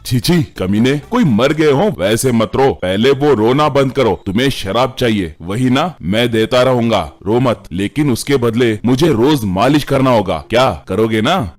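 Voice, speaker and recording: A man's voice: mid-range voice, lively intonation, unhurried, hardly any pauses, faint music behind, natural voice. A man playing a stern, scolding jailer. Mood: bossy, scolding. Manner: gruff, bossy, scolding. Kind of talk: animated story character dialogue.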